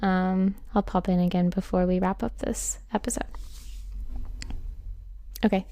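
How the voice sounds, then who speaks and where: A young woman with a low, smooth, resonant voice chats loosely through a podcast intro, full of fillers. The sound is dry and close, with a gravelly edge. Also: flat delivery, unhurried, dark tone, dry recording. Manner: rambling, filler-heavy. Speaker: podcast host. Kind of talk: casual intro chat.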